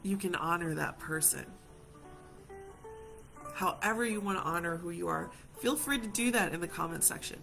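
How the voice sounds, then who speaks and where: A woman from Hawaii leading a reflective live session as a community healer, speaking gently and reassuringly to viewers and repeating phrases for emphasis. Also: lowish voice, moderate pace, vocal fry, pauses often, soft background music.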